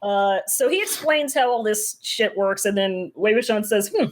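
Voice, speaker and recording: A woman's voice: medium pitch, lively intonation, moderate pace. Irreverent woman, casual and slangy. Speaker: podcast co-host. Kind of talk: casual book recap chat.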